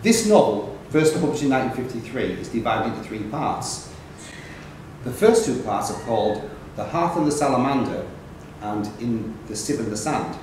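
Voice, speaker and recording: A man's voice: medium pitch, lively intonation, moderate pace. Male quizmaster reading lengthy questions with occasional stumbles; distant, very reverberant recording with faint noise. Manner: stumbles and corrects himself while reading. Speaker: quiz question reader. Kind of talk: reading long quiz questions aloud at a live event. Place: echoey indoor pub function room. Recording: very echoey noisy room, distant mic.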